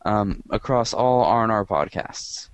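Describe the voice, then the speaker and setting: A man hosting a podcast in casual chat, with a quiet, monotone voice and a rambling, dry way of talking. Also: on the low side, unhurried, smooth, no room sound. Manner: rambling and dry, filler-heavy. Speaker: podcast host.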